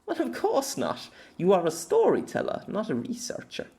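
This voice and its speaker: A playful young woman hero from a fantasy world, acted out by a man in an amateur audiobook. The pitch is lifted and swoops freely, with grand, archaic wording and a curious, teasing temper. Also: wildly swooping pitch, moderate pace, warm tone, full character voice. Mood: earnest, insistent. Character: curious, teasing young heroine from another world, haughty and old-fashioned.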